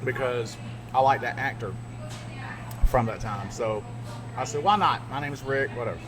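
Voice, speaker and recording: A man's voice: mid-range voice, moderate pace. A middle-aged man, a radio DJ, co-hosting a podcast and telling stories in rambling casual chat. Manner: rambling, laughs at his own stories.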